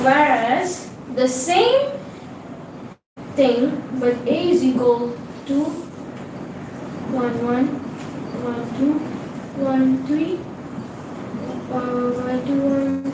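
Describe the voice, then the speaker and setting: A woman with an Indian accent hosting a casual livestream, reading chat and tutoring math in a slow, halting way with many fillers. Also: high voice, recorded from a distance. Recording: very echoey room, voice off-mic, noisy background.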